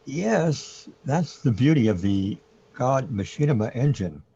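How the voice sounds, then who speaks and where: A man playing a calm companion who explains things matter-of-factly in a radio play, on a dull recording. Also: mid-range voice, a little slow, slightly rough, full character voice. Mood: matter-of-fact explaining. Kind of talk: amateur radio play acting. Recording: dull lo-fi audio.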